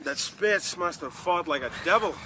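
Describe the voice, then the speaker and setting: An actor playing an excitable, fond sled driver of the frozen North, exclaiming in broken bursts in a radio drama. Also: male voice, a little high, moderate pace, slight background noise. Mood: fond, marveling.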